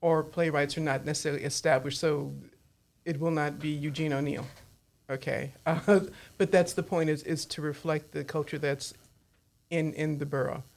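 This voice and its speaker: Woman talk show host, gravelly, lowish voice, playful and teasing, studio chat. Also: fairly even intonation, normal speed, darkish tone. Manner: playful, teasing, self-mocking. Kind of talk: casual studio chat with jokes.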